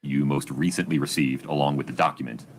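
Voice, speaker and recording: A man presiding as judge in a courtroom, questioning lawyers firmly and briskly. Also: extremely low pitch, fairly even intonation, a little hiss or hum. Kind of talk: courtroom proceedings.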